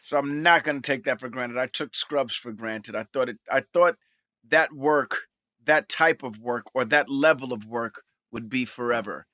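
A man co-hosts a podcast in a relaxed, reflective way. His voice is bright and recorded dry, with no room sound. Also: medium pitch, normal speed, no room sound. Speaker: podcast co-host. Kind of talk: casual chat.

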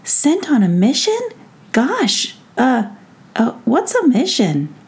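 Woman doing a sweet, excitable, high-voiced young girl. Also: slow, husky, exaggerated voice. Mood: startled. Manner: gushing, earnest.

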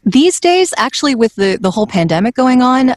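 A woman voiceover artist with a smooth voice, talking in an interview and laughing often in a light, self-deprecating way. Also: sits high, sing-song, fairly quick, no room sound, clean studio sound. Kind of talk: conversational interview.

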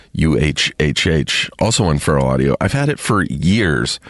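A man hosting a podcast alone, chatting casually to his listeners in a halting, rambling way with lots of fillers, a bit sniffly from congestion. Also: deep voice, no echo. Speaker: podcast host. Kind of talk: casual solo chat to listeners.

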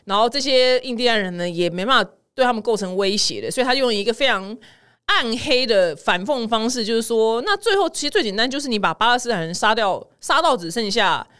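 A woman's voice: on the high side, fairly quick, smooth. A woman with a Taiwanese Mandarin accent giving casual, chatty news commentary as a podcast host, acting out the people she quotes. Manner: chatty, animated retelling with acted-out quotes.

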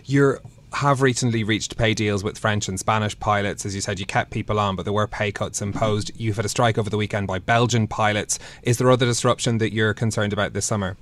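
Fast-talking male news anchor. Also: on the low side, steady tone, fairly bright tone. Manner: brisk, direct questioning. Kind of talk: business news interview questions.

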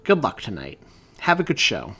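A man's voice: on the low side, huge pitch swings, moderate pace, gravelly, bright, forceful tone, husky, full character voice. A man voicing a meek but defiant young boy who is a drummer, speaking in heated retorts. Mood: calm, polite. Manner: rising, heated confrontation.

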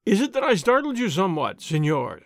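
A man voicing a proud, languid young gentleman with a thin voice and polite, precise manner in a storybook. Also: sits high, very animated, brisk, dry recording, studio quality, full character voice. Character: languid, proud young gentleman aristocrat, aged twenty-four.

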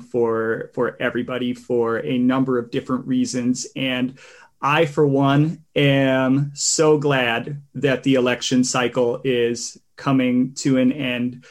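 A man hosting a podcast in relaxed, rambling casual chat, with a tenor voice and many fillers. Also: mid-range voice. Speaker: podcast host.

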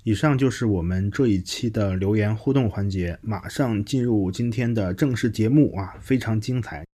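A man hosting a podcast in a casual, conversational way, pausing now and then as he thinks through his words. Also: lowish voice, dark tone, husky. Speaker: podcast host. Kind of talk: casual podcast hosting, reading listener messages.

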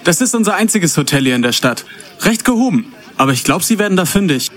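A man playing a starstruck, flustered townsman in a comedy audio drama, excitable and eager to help. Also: high-pitched, fast talker. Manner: excitable, stammering.

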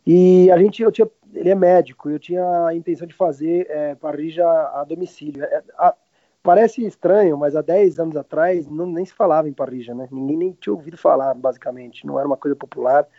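A man in his late thirties with a Brazilian accent talks casually as an interview guest. His voice sits a little high, and he speaks informally, often backtracking mid-sentence. The recording is dry and slightly muffled. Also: fairly quick, dry recording. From Argentina. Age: late 30s. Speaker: podcast guest, restaurant owner. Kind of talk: casual chat. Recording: roomless dry, slightly dull lo-fi sound.

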